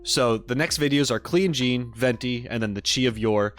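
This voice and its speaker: Male streamer, middle-pitched voice, casual and explanatory, rambling updates over faint background music. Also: normal speed. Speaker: streamer and reaction video host. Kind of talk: casual livestream chat to viewers.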